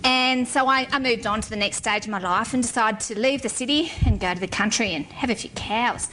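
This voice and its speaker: A woman's voice: on the high side, brisk, pushed and bright, a little hiss or hum, poor audio. A middle-aged woman, a civil engineer, giving a frank, wry talk to an audience. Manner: frank and wry, with blunt humor.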